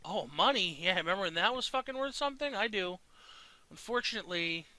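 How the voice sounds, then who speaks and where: A man with a fairly high, very bright voice narrates his gameplay casually. He sounds congested yet clear, and he rambles along in a slangy, loose way. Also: animated, fairly quick, no echo. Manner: rambling, slangy, occasional swearing. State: congested from dust. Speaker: gaming video creator.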